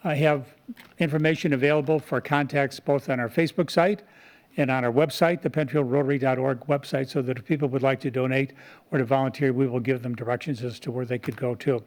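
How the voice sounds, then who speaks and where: A man's voice: medium pitch, fairly even intonation, brisk. A man speaking as a community volunteer, giving an earnest, explanatory presentation at a public meeting. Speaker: community volunteer presenter, Rotary club member. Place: town board meeting room.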